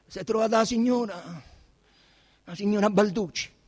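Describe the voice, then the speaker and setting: A man's voice: high voice, normal speed, slightly rough, big-room sound, voice pushed up. An actor playing an agitated Roman policeman who rambles colloquially as he reports a crime in a radio drama. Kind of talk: dramatic radio theatre monologue.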